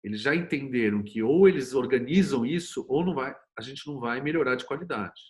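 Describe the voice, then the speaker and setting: A man's voice: mid-range voice, fairly quick. A Brazilian man speaking as an interview guest, explaining conversationally in an opinionated way with rhetorical questions. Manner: opinionated, animated storytelling with rhetorical questions and acted quotes. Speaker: screenwriter and series producer, interview guest.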